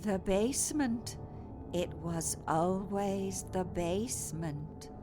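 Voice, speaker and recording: A woman playing a feeble, demented elderly patient in an audio horror drama, speaking in haunted, halting fragments. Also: mid-range voice, dark tone, soft background music, close to an everyday voice. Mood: haunted dread. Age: older.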